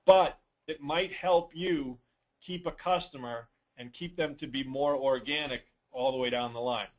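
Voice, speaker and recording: A man's voice: medium pitch, a little slow, low-quality recording. A man coaching informally on a call, answering questions in a plain-spoken, advisory way with emphatic points. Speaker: lawn care business coach hosting a dealer call.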